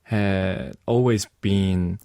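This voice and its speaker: A young man answering interview questions in a soft, hesitant way, with many fillers and restarts. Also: sits low, fairly even intonation, drawn-out words, no echo, clean studio sound. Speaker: singer-songwriter and producer guest.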